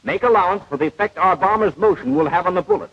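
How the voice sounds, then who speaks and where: A man playing a patient, precise, didactic gunnery instructor in a 1940s animated training film. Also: sits high, fast. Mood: instructive. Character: patient, know-it-all male gunnery instructor, precise and unflappable. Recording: dull vintage 1940s film soundtrack.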